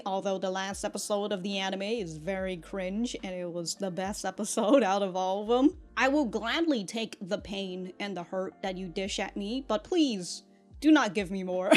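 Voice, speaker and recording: A woman hosting a reaction show, commenting casually in an animated, exclaiming way. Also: mid-range voice. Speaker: host of an anime reaction show.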